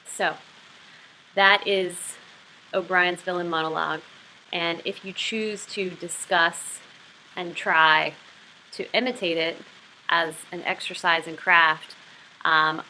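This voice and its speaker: A woman with a fairly low but bright, forward voice gives a lesson on literary craft. She moves between explanation and expressive read-aloud narration. There is faint noise behind her. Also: takes it slowly, pauses often, rough recording. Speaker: writing teacher.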